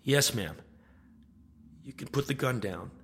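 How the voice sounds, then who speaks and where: An actor playing a weary, wry veteran soldier in an audio drama, speaking in terse phrases. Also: male voice, mid-range voice, animated, unhurried, gravelly, a bit bright, breathy edge, heavily acted. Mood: guarded, polite. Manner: terse, muttering to himself.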